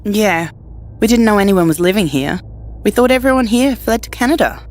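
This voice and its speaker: A woman's voice: medium pitch, expressive, normal speed, darkish tone, lightly acted. An actress playing an uneasy, questioning female survivor in a dystopian audio drama. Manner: hesitant, questioning.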